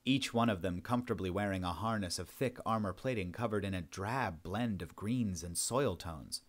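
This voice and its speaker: A man's voice: low-pitched, moderate pace. A man reading a science fiction story aloud as a scripted narration. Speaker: audiobook narrator.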